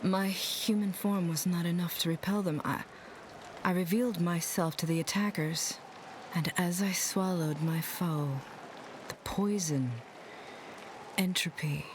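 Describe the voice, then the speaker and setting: Female guardian dragon voiced by a woman, fantasy game; lowish, solemn, mournful, halting, with ambience behind. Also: steady tone, background noise, natural voice. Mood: wistful, haunted. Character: sorrowful, cursed ancient guardian dragon, female, losing memory. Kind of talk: fantasy game dialogue acting.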